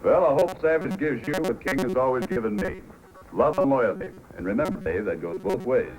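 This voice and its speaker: An actor playing a firm, courteous Yukon police sergeant in an old-time radio adventure drama. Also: male voice, medium pitch, big pitch swings, a little fast, close to an everyday voice, low-quality recording. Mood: warm, earnest. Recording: old radio recording with loud music.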